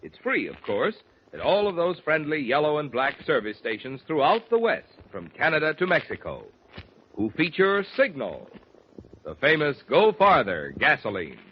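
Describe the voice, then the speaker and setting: A man's voice: fairly high pitch, wildly swooping pitch, normal speed, breathy edge. A man announcing in scripted 1940s radio style, reading sponsor messages and programme notes. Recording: dull 1940s radio recording. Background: faint music.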